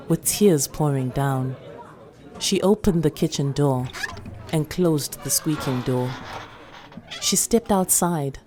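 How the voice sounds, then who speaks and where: A South African woman narrates literary fiction in a low-pitched voice. She reads from a script as an actor would, telling the story in the third person. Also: dark tone. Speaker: actor reading a short story.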